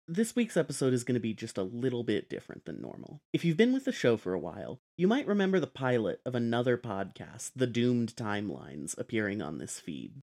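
A man speaking as podcast host and editor, delivering an announcement to listeners. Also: fairly low pitch.

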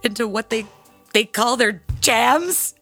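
An actress playing a proud, aggrieved, self-important middle-aged church bell ringer in an audio drama, speaking in a theatrical, haughty, deliberate way. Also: female voice, fairly high pitch, sharp tone, soft background music. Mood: aggrieved, indignant.

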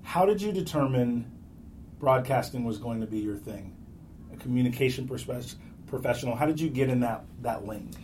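A man's voice: mid-range voice, animated, moderate pace, a little mellow. A man hosting a podcast with casual, conversational interviewing. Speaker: podcast host. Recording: faint background noise.

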